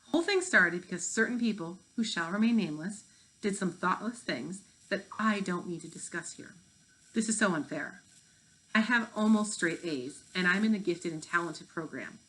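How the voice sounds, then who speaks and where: A woman's voice: on the low side, lively intonation, moderate pace, a bit bright, rough recording. A woman reading the part of a prim, indignant fifth-grade overachiever, a girl who sounds aggrieved.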